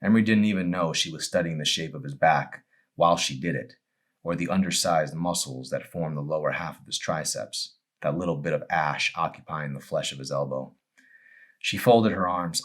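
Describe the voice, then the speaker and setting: A man with a low voice presents and reads his own fiction in an audiobook manner. His phrasing is deliberate and dramatic. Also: fairly bright tone. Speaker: author reading own novel. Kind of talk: audiobook-style narration with casual introduction.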